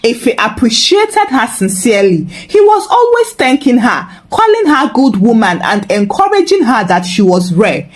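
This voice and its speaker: A woman with a Nigerian accent narrating a scripted folktale in an expressive, humorously dramatic way. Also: fairly high pitch, moderate pace, few pauses. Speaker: storyteller, narrator.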